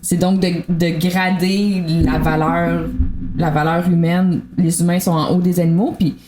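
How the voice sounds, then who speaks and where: A woman's voice: lowish voice, little pitch movement, a little slow, mellow. A woman with a colloquial Quebec French accent, thinking aloud in a casual podcast discussion as a guest. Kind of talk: casual after-show discussion.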